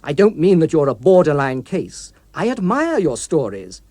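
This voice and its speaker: A man reading an audiobook, voicing an anonymous male postcard writer who is mock-friendly, arch and insinuating, with quiet menace. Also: a little high, huge pitch swings, moderate pace, no room sound.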